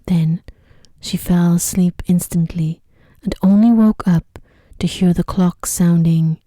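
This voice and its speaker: Woman, ASMR sleep story narrator, hushed and gentle, whispery at times, very dark relaxed tone, dry sound. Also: lowish voice, takes it slowly, no echo. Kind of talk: soft-spoken ASMR bedtime story reading.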